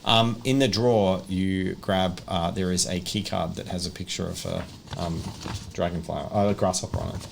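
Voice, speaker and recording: Deep, gravelly male game master. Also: steady tone, normal speed, background noise. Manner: vivid descriptive narration mixed with casual rules talk. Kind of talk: narrating a horror tabletop roleplay game.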